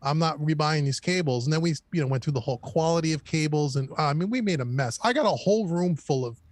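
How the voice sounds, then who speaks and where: A man's voice: on the high side, quick pace, dry recording. A man guesting on a casual live-stream chat, rambling through wry stories with lots of repeats. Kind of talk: casual conversation.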